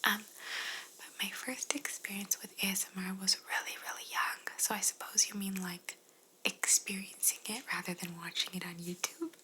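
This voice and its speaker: A woman speaking in a breathy whisper, chatting casually and gently as she answers questions in an ASMR style. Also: mid-range voice, normal speed, poor audio. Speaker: ASMR video creator answering viewer questions.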